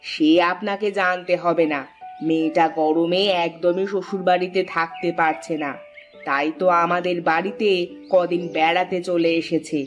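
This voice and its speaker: A woman voicing a sharp-tongued middle-aged wife who scolds her husband in a cartoon. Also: on the low side, steady tone, moderate pace, faint music behind, close to an everyday voice. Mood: scolding. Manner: scolding, nagging.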